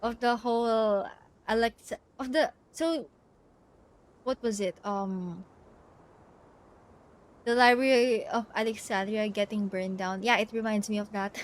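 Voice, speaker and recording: A woman streaming a game live, chatting casually and giggly as she thinks out loud and reads bits of text. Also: fairly high pitch, unhurried, pauses often.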